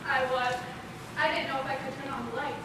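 A woman's voice: sits high, fairly even intonation, brisk, natural voice. A young woman on stage playing an eager, hopeful office girl with earnest, projected delivery. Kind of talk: amateur stage play acting. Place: large indoor theater stage, far camera. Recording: echoey stage from far off, loud noise.

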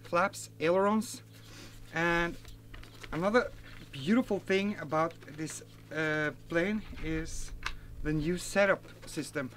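A man's voice: fairly high pitch, takes it slowly, fairly bright tone, slight background noise. A man in his forties, a native Norwegian speaker, chatting casually outdoors in a garden as he unboxes and reviews a model plane, with plenty of fillers and self-corrections. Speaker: RC hobby reviewer.

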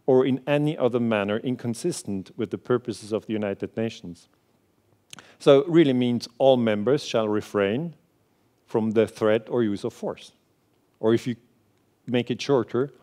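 A man's voice: medium pitch, a little mellow. Swiss man giving an earnest keynote. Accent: Swiss German. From Switzerland. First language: Swiss German. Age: 40s. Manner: earnest, conversational, many filler sounds, moves and gestures while speaking. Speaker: keynote lecturer. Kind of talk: conference keynote lecture. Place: large theater stage with audience.

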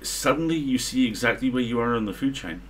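A middle-aged man co-hosting a casual discussion, building his points in halting, repeated phrases. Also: medium pitch, animated, fairly bright tone. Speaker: livestream co-host. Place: small room at home, indoors. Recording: noisy livestream room sound.

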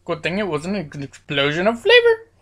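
A woman's voice: fairly low pitch, wildly swooping pitch, moderate pace, a bit bright. A woman with a cold, her voice cracking, giving playful, rambling commentary over a video game with lots of fillers. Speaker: gaming channel host.

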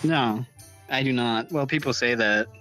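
Young guy from denmark, gamer chat, bright sharp voice, faint music. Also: medium pitch, unhurried. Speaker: gamer in a group session. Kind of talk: casual gaming chat. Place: online VR game voice chat.

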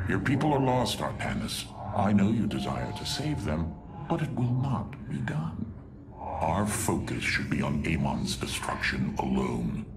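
A man playing a menacing, scornful alien warlord in a video game, haughty, sneering and deliberate. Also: low voice, big pitch swings, vocal fry, background noise, full character voice. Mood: cold, dismissive. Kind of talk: dramatic video game character acting. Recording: loud music behind.

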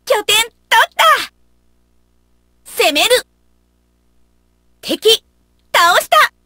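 High-pitched wild feral child warrior. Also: female voice, sing-song, drawn-out words, bright, forceful tone, no echo, clean studio sound, full character voice. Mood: fierce, blunt. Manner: blunt broken phrases with beast-like growls. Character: wild, simple-minded feral child warrior who growls. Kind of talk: Japanese video game battle voice lines.

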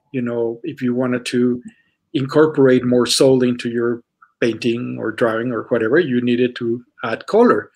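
A man, an artist on a panel, answering over a video call, hesitant and filling pauses with "you know". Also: medium pitch. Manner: hesitant, many "you know" fillers and restarts.

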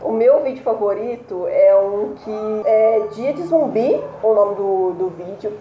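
A woman's voice: mid-range voice, measured pace, highs cut off, soft background music. A young woman co-hosting a casual video chat, enthusiastically recommending things. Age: young adult. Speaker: co-host. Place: indoor room against a plain wall. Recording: roomy echo.

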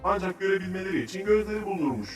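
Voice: medium pitch, lively intonation, very fast, natural voice. A performer playing a cheerful, knowledgeable child who explains nature facts enthusiastically in a children's cartoon. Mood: helpful, instructive. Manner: instructive, enthusiastic, articulate. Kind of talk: children's educational cartoon dialogue. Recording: roomy, distant sound with faint music.

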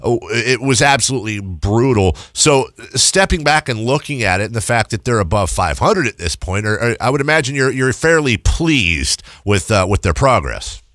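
A man's voice: medium pitch, lively intonation, normal speed, few pauses, fairly bright tone, no echo. A man hosting a sports radio show, asking chatty, meandering questions into a close studio microphone. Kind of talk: casual sports radio interview. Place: small padded radio studio.

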